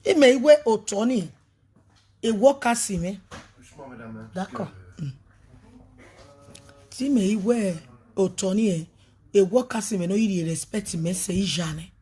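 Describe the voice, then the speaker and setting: A woman with a Nigerian accent, talking emphatically as a prophetess on a live video, swinging between loud outbursts and a lowered voice. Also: a little slow, pauses often, slightly rough. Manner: emphatic, switches between pidgin and a local language.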